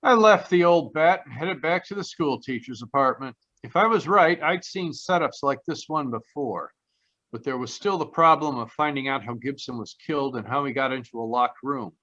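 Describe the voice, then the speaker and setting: Man playing a bright-voiced wisecracking private eye. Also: mid-range voice, moderate pace, close to an everyday voice. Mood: wry narration. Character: wisecracking, cocky male private detective. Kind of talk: old-time radio noir narration and dialogue. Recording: dull online video-call audio.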